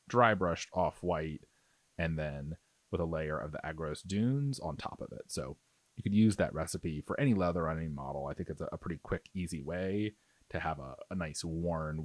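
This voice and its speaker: A man's voice: sits low, dry recording. A man giving a casual tutorial voice-over as a hobby painting instructor, explaining in a conversational, enthusiastic way.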